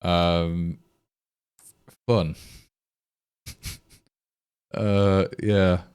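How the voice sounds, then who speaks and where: A man's voice: low-pitched, level tone, drawn-out words, darkish tone, smooth. An English man with an East Midlands accent, chatting casually to stream viewers in a rambling, exasperated way with many restarts. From England. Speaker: livestream programmer.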